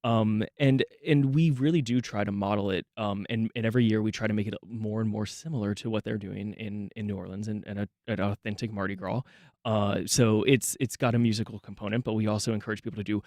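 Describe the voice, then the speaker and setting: A man speaking as a guest on a radio talk show, conversational, often pausing with um and restarting. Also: fairly low pitch, steady tone, darkish tone, dry recording. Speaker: guest, neighborhood nonprofit director. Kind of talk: radio interview.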